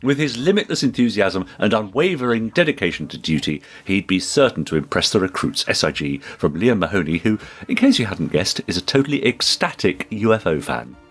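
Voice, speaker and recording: Low-voiced British man, very lively intonation. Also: fairly quick, few pauses. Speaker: podcast co-host and actor. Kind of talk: chatty podcast, reading out listener messages.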